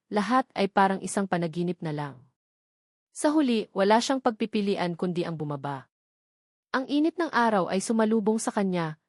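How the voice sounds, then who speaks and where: A woman's voice: mid-range voice, a little mellow, no room sound, clean studio sound. A woman with a Filipino accent giving a dramatic scripted narration of a romance story as an audiobook narrator.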